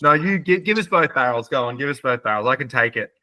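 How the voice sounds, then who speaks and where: A man's voice: mid-range voice, lively intonation, quick pace, pushed and bright, no echo. A man chatting casually as a podcast co-host, jokey and informal. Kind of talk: casual chat.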